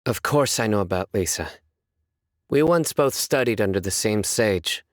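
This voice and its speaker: Guy doing a stern young desert judge from a video game, lowish voice, super serious and deadpan, dry close sound. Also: moderate pace, dry recording, close to an everyday voice. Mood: reflective. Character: stern, composed young male desert judge, earnest and solemn. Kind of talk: scripted game character voice lines.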